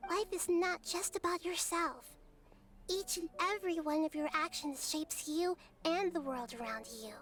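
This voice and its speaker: A woman voicing a gentle, wise young girl goddess who speaks softly and earnestly to her people in a video game. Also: extremely high pitch. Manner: soft, earnest, measured speech. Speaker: archon addressing her people.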